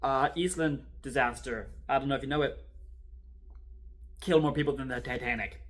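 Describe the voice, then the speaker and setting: A man playing a wry, rattled archival assistant in a horror drama, rambling and sardonic as he records a halting confessional monologue. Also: mid-range voice, lightly acted. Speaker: performer in a fan audio-video drama. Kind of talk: found-footage confessional monologue, conversational storytelling.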